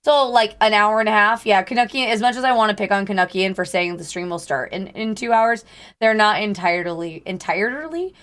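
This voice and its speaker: A hoarse woman streamer chatting casually with her viewers in a put-on Southern US accent, greeting and thanking them by name. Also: mid-range voice, fairly quick, a bit bright. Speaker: livestreamer. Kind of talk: casual livestream chat with viewers.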